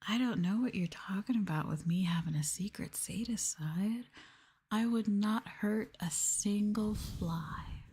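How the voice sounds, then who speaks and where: A woman talking in a soft, breathy voice that often drops to whispers, playful and teasing, chatting casually as an ASMR host close to the mic. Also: mid-range voice, measured pace. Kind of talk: soft-spoken ASMR, casual chat with viewers. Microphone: sensitive mic up close.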